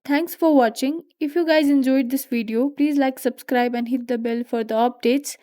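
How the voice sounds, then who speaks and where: A woman's voice: high-pitched, steady tone, normal speed, dark tone. A woman hosting a tutorial, narrating scripted how-to instructions step by step. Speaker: tutorial host.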